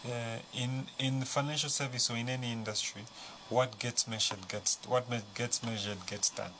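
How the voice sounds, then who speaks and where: A man's voice: mid-range voice, fairly even intonation, moderate pace, sharp tone, low-quality recording. A man with a Zambian accent explaining as a panel guest, conversational and given to rhetorical questions. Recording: clear background noise.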